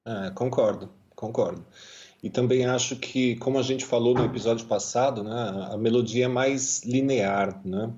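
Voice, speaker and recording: Brazilian guy with a dark mellow voice, chatty musician, lots of "né" and restarts, kinda dull lo-fi sound. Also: moderate pace. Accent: Brazilian Portuguese. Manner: thoughtful, many fillers and repetitions, lively pitch swings. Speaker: guest musician. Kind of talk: casual conversational interview.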